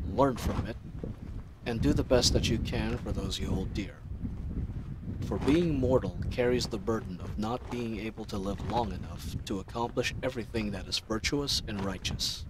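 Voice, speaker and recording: A man playing an earnest, chatty knight captain in a fantasy audio roleplay, reflective and rambling, over clearly audible ambient sound effects. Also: fairly low pitch, background noise, close to an everyday voice. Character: earnest, chatty young male knight captain, idealistic and teasing. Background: added outdoor ambience sound effects.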